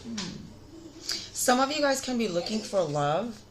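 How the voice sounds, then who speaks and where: A woman in her thirties with a raspy, tired voice, chatting casually to viewers as she reads tarot cards. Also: on the high side, sing-song, unhurried. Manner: chatty, talks straight to viewers. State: tired voice from singing. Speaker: tarot reader. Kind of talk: casual spiritual reading.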